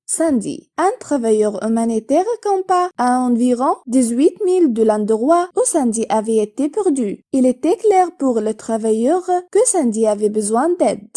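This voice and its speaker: A woman's voice: on the high side, mellow. A woman narrating a scripted story, reading it out word for word.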